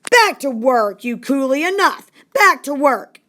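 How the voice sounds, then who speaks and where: Woman playing a harsh, loud railroad boss. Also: sits high, animated, measured pace, pitched above the performer's own voice. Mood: angry. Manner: stern, forceful, threatening. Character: harsh, angry railroad boss barking orders at workers.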